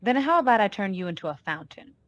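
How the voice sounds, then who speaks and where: A woman's voice: medium pitch, big pitch swings, fairly bright tone, faint music behind. An actress playing a cold, commanding female gangster boss in a noir radio play, taunting and full of threat. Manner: taunting, deliberate, imperious. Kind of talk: 1940s radio noir acting.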